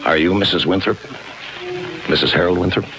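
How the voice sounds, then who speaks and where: An actor playing a cynical, world-weary homicide detective in a 1950s radio crime drama, clipped, deadpan and sardonic. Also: male voice, deep voice, brisk, noisy background. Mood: wry, matter-of-fact. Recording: 1951 radio broadcast with music behind.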